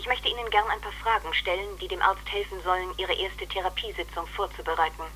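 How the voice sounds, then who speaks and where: A woman's voice: mid-range voice, steady tone, quick pace, sharp tone, faint music behind, close to an everyday voice. A woman playing a polite, pedantic computer therapist in a radio drama, asking formal, precise questions. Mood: polite, probing. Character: female computer psychoanalyst, polite, probing, pedantic machine. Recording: noisy old cassette radio recording.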